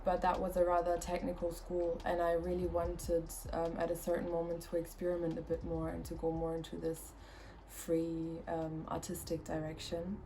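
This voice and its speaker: A woman's voice: fairly low pitch, monotone, moderate pace, warm tone, a little hiss or hum, low-quality recording. A woman in her twenties from Germany, a fashion student giving plain, matter-of-fact interview answers. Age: 20s. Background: music.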